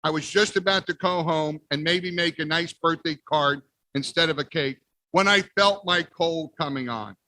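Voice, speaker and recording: A man reading a picture book aloud as the wolf, playful with big pauses. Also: fairly high pitch, bright, forceful tone, no room sound, rough recording. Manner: playful, exaggerated sneezes and storyteller pauses. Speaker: guest reader. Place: library event.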